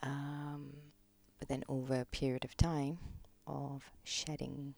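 A woman, a podcast guest reflecting on her life in a slow, hesitant way, pausing often. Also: very deep voice, level tone, gravelly. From Malaysia. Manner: slow, hesitant, many pauses and ums, soft-spoken. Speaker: podcast guest, gong practitioner. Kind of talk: reflective personal interview talk.

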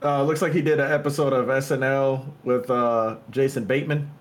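A man's voice: medium pitch, steady tone, moderate pace. A man in casual podcast banter who often hesitates and gropes for his words. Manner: hesitant, searches for words with fillers.

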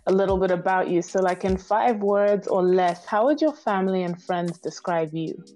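A woman's voice: mid-range voice, normal speed, mellow, faint music behind. Chatty woman podcast host. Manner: chatty, uses "like" and "um", laughs. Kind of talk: interview host, scripted intro then casual chat.

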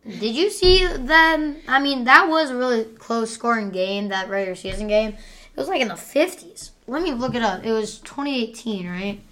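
Woman podcast host, loud and excitable, teasing, fairly high voice, bright ringing tone. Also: normal speed. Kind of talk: casual sports picks chat.

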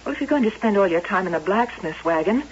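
A woman's voice: mid-range voice, animated, fast talker, close to an everyday voice. An American actress playing a needy, hurt young showman's wife in an old-time radio drama, plaintive and complaining. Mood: hurt, nagging. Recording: muffled hissy vintage radio recording.

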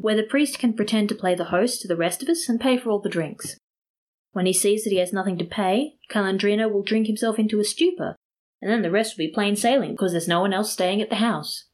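Female reader as mocking male trickster, storybook character, teasing, mock-sincere, mid pitch. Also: lightly acted.

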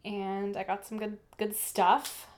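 A woman's voice: medium pitch, level tone, takes it slowly. A young woman co-hosting a casual podcast, chatty and rambling, with lots of "like" and "um.". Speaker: podcast co-host. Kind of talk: casual chat.

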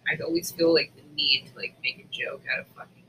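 Very bright-voiced man in casual chat. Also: fairly high pitch, flat delivery, quick pace, a little hiss or hum, low-quality recording. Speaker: podcast co-host.

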